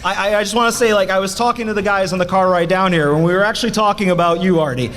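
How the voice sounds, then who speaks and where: A man's voice: sits high, racing, fairly bright tone, slight background noise. A man co-hosting a live podcast, tossing out wisecracks.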